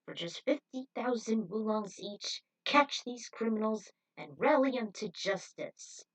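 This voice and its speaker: A woman's voice: on the high side, expressive, measured pace. A woman voicing a showy cowboy host of a TV bounty show, with emphatic announcer delivery. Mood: showy, upbeat. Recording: voice distant from the mic.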